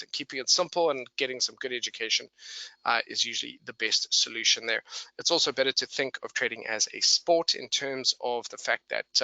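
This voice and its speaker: Bright-voiced man, English-South African accent. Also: mid-range voice, vocal fry, dry recording, rough recording. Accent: mixed English and South African. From England and South Africa. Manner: chatty, explanatory, addresses listeners directly. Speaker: webinar presenter and trader. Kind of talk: live instructional webinar, conversational.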